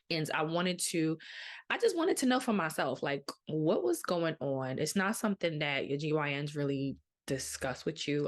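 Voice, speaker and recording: Low-voiced woman telling her story. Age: 30s. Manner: chatty, candid, acts out her own thoughts in a higher voice. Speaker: podcast host. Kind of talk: casual personal storytelling.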